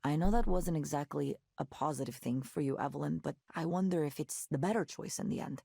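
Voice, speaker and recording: A frank, restless woman from a visual novel. Her voice is low and gravelly with vocal fry. She talks conversationally, in an earnest and questioning manner. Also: a little fast, mellow, dry recording, studio quality, heavily acted. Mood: troubled, questioning. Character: Nora, a frank, restless woman working in tech.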